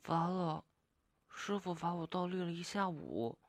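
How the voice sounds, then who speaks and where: An actress playing a meek, earnest young opera apprentice in a radio drama, polite, youthful and eager in manner. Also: female voice, on the low side, animated, a little slow, no room sound, studio quality.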